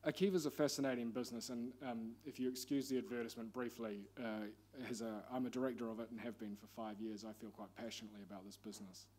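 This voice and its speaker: A man's voice: medium pitch, steady tone, a little mellow. New Zealand man giving a panel talk. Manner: measured, formal delivery. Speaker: panel speaker, investment executive. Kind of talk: conference presentation.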